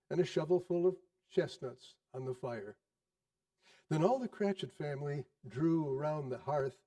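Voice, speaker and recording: A man narrating a classic story in a theatrical style, with dramatic, deliberate phrasing and varied emphasis. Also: a little high, dark tone, recorded from a distance.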